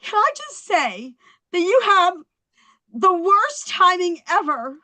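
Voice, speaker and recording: An actress playing a hurt, stubborn, sardonic New York housewife at a table read, with emphatic, sarcastic delivery. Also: female voice, very high-pitched, very animated, slow pace, sharp tone, heavily acted. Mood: tearful, sarcastic. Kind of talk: table read of a TV comedy-drama script.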